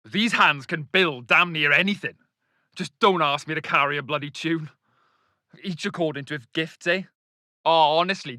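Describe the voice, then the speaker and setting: A man's voice: high voice, bright, forceful tone, no room sound, clean studio sound. A man playing a wry, grumbling army engineer in a war game, cursing. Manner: wry, cursing, plain-spoken. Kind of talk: video game unit voice lines.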